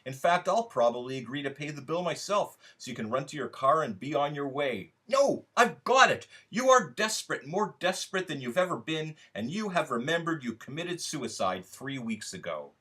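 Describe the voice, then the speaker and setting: A man's voice: a little high, sing-song, normal speed, few pauses, sharp tone, slightly rough, heavily acted. Man reading as a needy, sarcastic, aggrieved storyteller. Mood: mock excited. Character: needy, sarcastic, aggrieved lonely storyteller addressing a bored companion. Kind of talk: dramatic first-person literary reading.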